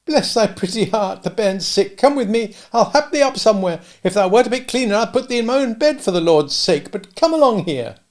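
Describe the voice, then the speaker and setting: A kindly old country dame, high and fluttering, full of exclamations and old dialect speech, as a male storyteller puts her on. The voice has a gravelly grain under it, and she fusses warmly over a sick child in a storybook reading. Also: animated, a little fast, hardly any pauses. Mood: fussing. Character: kindly old village dame, fussing and motherly.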